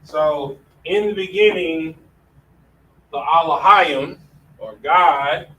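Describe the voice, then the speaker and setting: A man's voice: a little high, takes it slowly, pushed and bright. A man teaching a classroom lesson in a casual way, often saying "all right" between points. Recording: distant mic with faint room noise.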